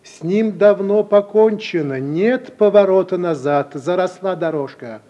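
An actor playing an earnest, idealistic young student in old Russian stage style, emphatic and lofty. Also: male voice, sits high, a little slow, warm tone, muffled recording. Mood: blunt, urging.

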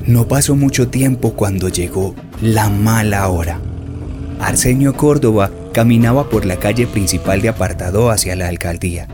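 An actor as a grave narrator, delivering scripted narration for a radio drama. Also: male voice, lowish voice, moderate pace, natural voice. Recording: prominent music under narration.

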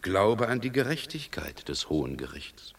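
A deep-toned man as a humble condemned prisoner in a 1960s radio drama. He is solemn and resigned, with formal, carefully weighted phrases of surrender. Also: big pitch swings, husky. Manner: solemn, measured formal diction. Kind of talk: 1960s German radio play acting.

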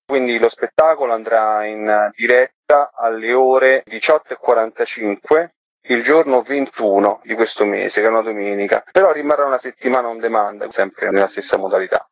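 A man's voice: lowish voice, moderate pace, no room sound. A man speaking as an interviewed theatre author and actor, explaining things in an earnest, reflective way. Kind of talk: interview conversation. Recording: dull radio interview sound.

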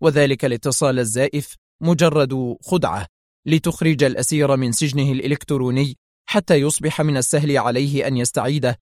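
Man playing an excitable, forceful male general. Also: medium pitch, a little fast, dry recording, studio quality, natural voice. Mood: firm, convinced. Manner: emphatic, urgent, argumentative. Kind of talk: dramatised audio story dialogue.

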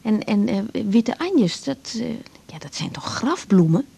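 A young woman doctor in a radio drama, tactful and patient. Her voice is mellow and slightly breathy, with lively rises and falls. She picks her words carefully. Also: on the high side, measured pace, exaggerated voice. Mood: tactful, reluctant. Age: young adult. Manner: careful, tactful, a little hesitant. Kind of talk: 1980s Dutch radio drama acting.

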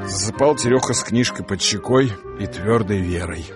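A man's voice: fairly low pitch, moderate pace. A man narrating a story for a radio play in a dramatic, wry, colloquial way. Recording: music bed behind the voice.

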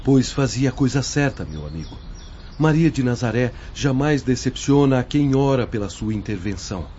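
A Brazilian actor playing a kindly, patient spiritual brother, gently counseling in a measured radio drama delivery. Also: male voice, mid-range voice, lively intonation, close to an everyday voice. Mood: gentle, encouraging. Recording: dull lo-fi audio with background noise.

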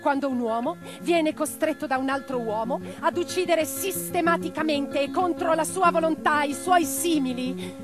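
Woman acting high-voiced, impassioned revolutionary woman. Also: moderate pace, music in the background, natural voice. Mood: fiery, oratorical. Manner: declamatory, dramatic, swinging from hushed to forceful. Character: impassioned female socialist revolutionary, intense, reflective letter-writer. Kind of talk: theatrical radio drama monologue, reading letters and speeches.

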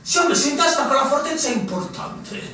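High-pitched, wildly animated, excitable fortress tailor. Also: male voice, fast, exaggerated voice. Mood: agitated, ominous. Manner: declamatory, exclaiming, swinging to muttering. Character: excitable, embittered fortress tailor stuck for years awaiting war. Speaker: stage actor. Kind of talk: theatrical stage drama. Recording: echoey hall from far off, faint noise.

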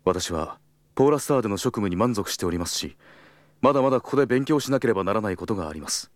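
An earnest, principled office worker played by a man in an audio drama, with a fairly low voice. He moves between formal, deferential business speech and blunt private thought, in a dry, close recording. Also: expressive, quick pace, dry recording, natural voice. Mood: politely firm. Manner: formal and deferential aloud, blunt in inner monologue. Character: earnest, principled male travel agency employee. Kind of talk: Japanese audio drama acting.

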